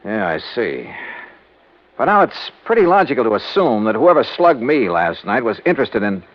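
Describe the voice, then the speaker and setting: An actor playing a hard-boiled male insurance investigator who narrates his case in brisk, wry first person, in 1950s radio drama style. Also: medium pitch, very animated, natural voice. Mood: probing. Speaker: narrator-detective. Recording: muffled old radio with music.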